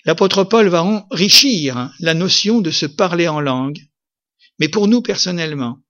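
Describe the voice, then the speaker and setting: A man preaching a sermon with emphasis, lifting his voice to loud peaks and then dropping it low. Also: expressive, measured pace, lo-fi sound. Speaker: pastor.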